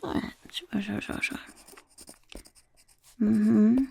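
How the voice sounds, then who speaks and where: A woman voicing a sly, self-important goblin recruiter in a soft-spoken ASMR roleplay, whispering and calling itself by name. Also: medium pitch, steady tone, very slow, exaggerated voice. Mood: sly, whispery. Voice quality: gravelly whisper. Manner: refers to itself in the third person, sly and skeptical. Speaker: ASMR performer.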